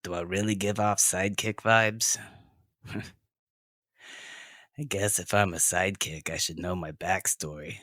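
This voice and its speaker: A man's voice: low-pitched, steady tone, a little slow, long pauses, bright, forceful tone, no room sound, studio quality. A man playing a tough, blunt, slangy sixteen-year-old half-orc girl in an audio drama. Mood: guarded, musing.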